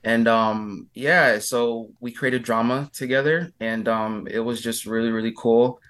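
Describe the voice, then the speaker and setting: A man's voice: mid-range voice, fairly even intonation, fairly bright tone, clear, resonant tone. Chatty man with frequent ums. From California. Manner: hesitant, many filler pauses, giggly. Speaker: guest singer-songwriter. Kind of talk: casual interview chat.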